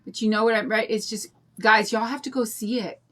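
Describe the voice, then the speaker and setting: An older woman with a raspy voice and a drawl, chatting in a rambling way and greeting viewers while she demonstrates a craft on a livestream. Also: on the high side, fairly even intonation, fairly quick, fairly bright tone. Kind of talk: casual chat during a craft demo.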